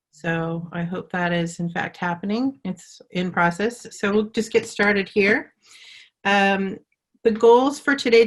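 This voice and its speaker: A woman hosting a live webinar over a video call, talking with many fillers and restarts. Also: mid-range voice. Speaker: webinar host.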